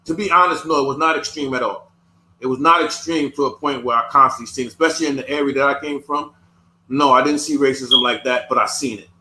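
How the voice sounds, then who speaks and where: Man, casual discussion, halting, repeats words, bright voice, echoey room. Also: mid-range voice, steady tone. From United States.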